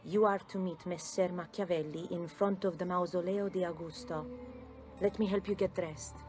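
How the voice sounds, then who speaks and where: Woman acting gentle Italian nurse, lowish voice. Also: fairly even intonation, moderate pace, over music, close to an everyday voice. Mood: soothing, matter-of-fact. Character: gentle, practical Italian woman nursing a wounded stranger.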